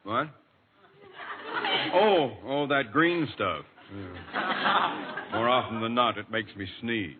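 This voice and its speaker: Grumpy middle aged dad, drawn out blustery griping, tinny hissy old radio comedy. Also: male voice, medium pitch, sing-song. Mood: grudging. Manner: grumbling, reluctant, drawn-out blustery delivery. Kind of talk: 1940s radio comedy acting. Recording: thin, very noisy old radio recording.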